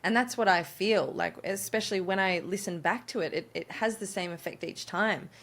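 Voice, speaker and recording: A woman's voice: medium pitch, normal speed, fairly bright tone. A woman hosting a podcast interview, talking in an enthusiastic, affirming way and thinking aloud as she goes. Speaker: podcast host. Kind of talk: casual interview chat.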